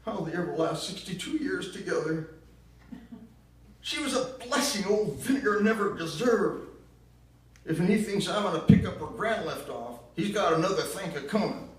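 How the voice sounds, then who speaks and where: A man voicing a bitter, grieving mother, loud and shrill with scorn. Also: big pitch swings, unhurried, pauses often, heavily acted. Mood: furious. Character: bitter grieving southern mother, middle-aged woman. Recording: echoey room, mic far off.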